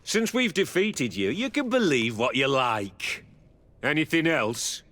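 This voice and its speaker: A stern military captain speaks curtly and formally, with deliberate weight. His pitch is average for a man, and the delivery is that of a fantasy video game officer. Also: sing-song, fairly bright tone. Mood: dismissive. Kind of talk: video game dialogue acting.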